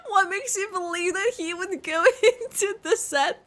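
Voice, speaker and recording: A woman's voice: very high-pitched, unhurried, a bit bright, slight background noise. A woman doing casual gameplay reaction commentary in a giggly, exclaiming, slangy way. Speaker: streamer reacting to a game.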